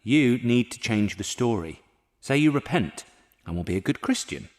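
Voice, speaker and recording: A man's voice: mid-range voice, sing-song, normal speed, a little mellow. A man playing a brusque, formal secretary of an eighteenth-century charity asylum in an audio drama, matter-of-fact and probing. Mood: blunt, coaching. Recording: echoey hall with long reverb.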